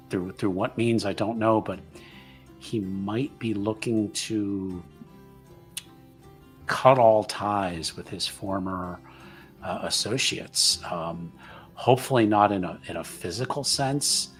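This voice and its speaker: A man voicing an earnest, cautious tavern-goer in a tabletop roleplaying game, polite and halting, often repeating words. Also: lowish voice, faint music behind. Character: soft-spoken, earnest male tavern regular, cautiously warning.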